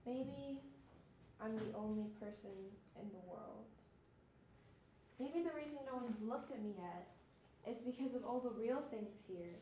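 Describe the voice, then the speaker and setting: A woman's voice: fairly high pitch, measured pace, pauses often, warm tone, natural voice. A woman playing a lonely, despairing harlequin in an amateur stage monologue, theatrical and musing. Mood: forlorn musing. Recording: echoey stage with faint noise.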